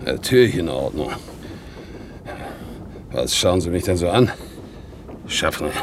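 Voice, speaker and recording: Very deep, gravelly old prisoner. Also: male voice, very animated, slow, noisy background, full character voice. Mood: proud, prickly. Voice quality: gravelly, husky. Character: worn, bitter, defiant one-legged old war veteran and prisoner. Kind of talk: 1960s German radio play acting. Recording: 1960s radio play recording.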